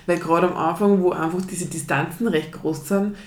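Woman hosting an interview, slightly low. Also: steady tone, moderate pace. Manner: conversational, asks open questions. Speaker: podcast host. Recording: roomy echo.

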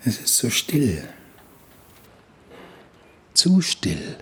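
A man's voice: mid-range voice, huge pitch swings, drawn-out words, sharp tone, breathy edge, exaggerated voice. A man playing a bewildered, half-dreaming traveller alone on a ship in a radio play. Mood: uneasy, searching. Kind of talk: slow, fragmented radio play monologue.

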